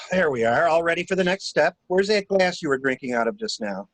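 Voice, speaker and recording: Man acting a brisk, cool-headed gentleman schemer. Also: fairly high pitch, expressive, dry recording, poor audio. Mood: cool, planning. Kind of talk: amateur dramatic reading of a murder mystery.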